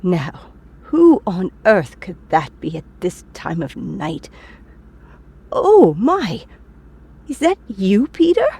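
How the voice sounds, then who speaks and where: A woman acting as an eccentric older teacher in a comic dub, doting on a former pupil. The voice is husky and dark, with a slow, teasing delivery. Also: medium pitch, big pitch swings, full character voice. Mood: delighted surprise.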